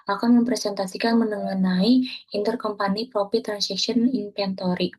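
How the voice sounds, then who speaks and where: A woman's voice: medium pitch, fairly even intonation, normal speed, a little mellow, slightly rough, rough recording. A young woman student presenting an accounting class lesson, reading steadily from her slides and correcting small slips as she goes.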